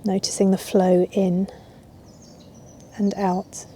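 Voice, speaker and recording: A woman yoga teacher guiding a relaxation in a soothing, unhurried way with long pauses. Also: medium pitch, completely flat delivery, dark tone, slight background noise. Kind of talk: guided yoga nidra relaxation. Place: outdoors in a garden. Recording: outdoor ambience with birdsong. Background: birds and garden ambience.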